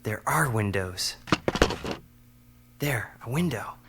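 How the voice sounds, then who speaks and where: An actor playing a wry, resourceful captive teenage younger brother in an audio drama, dry and matter-of-fact. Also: male voice, lowish voice, lively intonation, slow, gravelly, heavy background noise, heavily acted. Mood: wry, determined.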